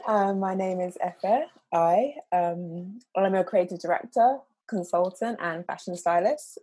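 A woman's voice: on the low side, mellow, husky, low-quality recording. A woman guest on a podcast, telling a self-deprecating story with giggles. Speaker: guest, creative director. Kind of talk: casual podcast chat.

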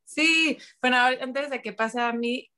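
A woman's voice: high-pitched, a little slow, sharp tone. A young woman talking about herself as a podcast guest, friendly and rambling, with many fillers and restarts. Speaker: guest athlete. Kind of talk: casual interview chat.

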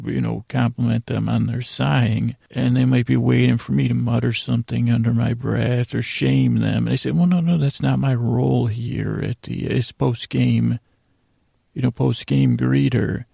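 Very gravelly, mellow man rambling at bedtime. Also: medium pitch, steady tone, dry recording, studio quality. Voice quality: gravelly, creaky. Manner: meandering, halting, trailing off mid-sentence. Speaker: host of a bedtime sleep podcast.

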